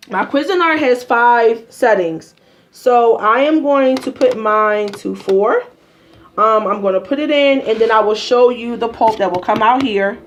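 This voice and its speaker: A woman's voice: fairly high pitch, a little hiss or hum. Rambling woman in her home kitchen. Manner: rambling, many ums and restarts. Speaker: vlogger. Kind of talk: casual kitchen demonstration.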